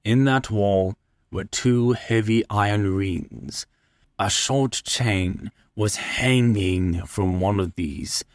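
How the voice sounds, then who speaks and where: A man narrates a story with dramatic, measured delivery. His voice is fairly low and very slow, recorded dry and close with no room sound. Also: no room sound. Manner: deliberate, measured. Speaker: story reader.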